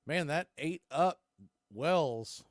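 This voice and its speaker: A man's voice: on the high side, animated, very slow, no echo, studio quality. An American man calling a baseball game live as play-by-play host, with folksy, conversational asides. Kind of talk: live sports commentary with casual asides.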